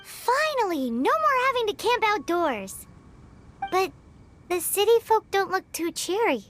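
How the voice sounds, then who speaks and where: A tiny female fairy sidekick from a video game. The voice is squeaky, childlike and extremely high. It swoops all over the place, cute, whiny and full of exclamations, with faint music behind it. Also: big pitch swings, measured pace, a bit bright, exaggerated voice. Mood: curious, relieved.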